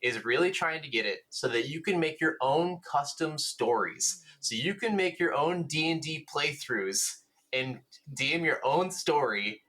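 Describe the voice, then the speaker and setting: Bright-voiced man chatting about games. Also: on the high side, lively intonation, measured pace. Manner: enthusiastic, rambling, casually sweary. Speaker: podcast co-host. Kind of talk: casual gaming podcast chat.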